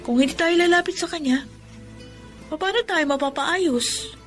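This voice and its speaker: A woman's voice: high voice, measured pace, soft background music. An actress playing a devout, frank young woman, a caring best friend, in a Filipino radio drama, with a Filipino accent. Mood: admonishing.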